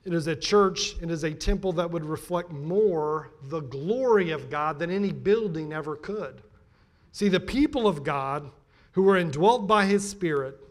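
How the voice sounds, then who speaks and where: A middle-aged American man giving a sermon as a pastor, emphatic and deliberate in his delivery. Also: a little high. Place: church pulpit, indoors.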